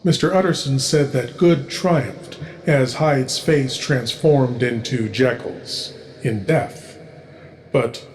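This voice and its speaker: A man hosting a horror program, giving a slow, theatrical, ominous closing monologue. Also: medium pitch, slight background noise. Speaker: horror show host.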